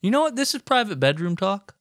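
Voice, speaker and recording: A man's voice: high-pitched, big pitch swings, fairly quick, no echo, clean studio sound. A man co-hosting a podcast, casually teasing and wisecracking with the host. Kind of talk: casual banter.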